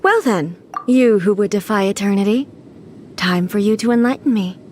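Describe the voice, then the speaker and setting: An actress playing a solemn, imperious female god ruler in a video game, speaking in a measured, formal and grave way. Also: mid-range voice, a little mellow, a little hiss or hum, lightly acted. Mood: cool, challenging. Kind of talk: dramatic video game voice acting.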